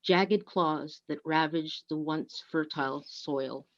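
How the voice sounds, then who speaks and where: A woman with a slightly low voice reads prepared material aloud. Her delivery is deliberate and measured, like a service leader at a reading. The recording is somewhat dull and lo-fi. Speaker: worship service co-leader and reader. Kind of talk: scripted narration and dramatic reading aloud. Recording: dull, lo-fi muffled sound.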